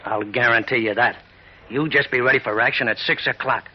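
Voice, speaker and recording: A man plays an assured villain's henchman in a vintage radio serial. He snaps out orders rapidly at a medium pitch, through a dull recording with plain noise. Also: steady tone, lightly acted. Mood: assured, menacing. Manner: curt, commanding. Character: brisk, cocky adult gangland messenger, tough and assured. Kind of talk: 1940s radio acting.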